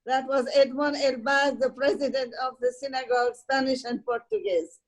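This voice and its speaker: A woman hosting an online talk, giving a deliberate, ceremonious welcome as programme coordinator. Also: high voice, low-quality recording. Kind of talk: formal welcome speech on a video call. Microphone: video call.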